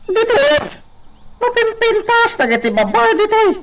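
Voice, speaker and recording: An actor playing an excitable, whooping comic character who exclaims and cackles in a radio drama. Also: extremely high pitch, moderate pace, background noise, full character voice. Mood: wildly excited. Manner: exclaiming, cackling, swooping between talk and shrieks. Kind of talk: Filipino radio drama acting. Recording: loud music over the voice. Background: loud music.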